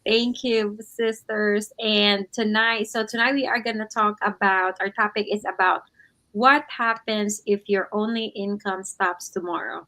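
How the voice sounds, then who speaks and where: A woman's voice: medium pitch, fairly even intonation, measured pace, pushed and bright. Chatty woman co-hosting. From Philippines. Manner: chatty, leans on "you know" and "right". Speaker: livestream co-host. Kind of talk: casual livestream talk.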